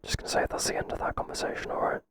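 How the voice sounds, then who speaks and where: A man playing a flustered but principled boss in an ASMR roleplay, speaking hesitantly in a breathy whisper. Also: close to an everyday voice. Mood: businesslike, gentle. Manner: hesitant, halting, gently firm. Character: flustered, professional male boss, kind but firm. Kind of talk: whispered ASMR roleplay, intimate second-person acting.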